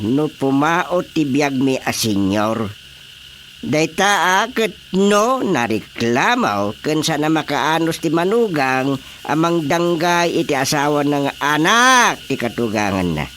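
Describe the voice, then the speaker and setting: An actor playing an emphatic neighbour who airs family troubles with drawn-out delivery in a radio drama. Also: medium pitch, big pitch swings, fairly bright tone. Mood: lamenting. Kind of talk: Ilocano radio drama acting. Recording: faint background noise with crickets.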